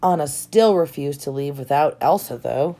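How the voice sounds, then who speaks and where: A woman reading a children's storybook aloud in expressive narration, with dramatic emphasis on key words. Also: deep voice, moderate pace. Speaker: storybook reader. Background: soft music.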